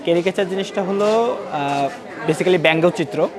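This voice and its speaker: Higher-pitched Bangladeshi man amid crowd chatter. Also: normal speed. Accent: Bangladeshi Bengali. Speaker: cartoonist interviewed. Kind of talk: explanatory interview answer. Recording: busy indoor public crowd noise.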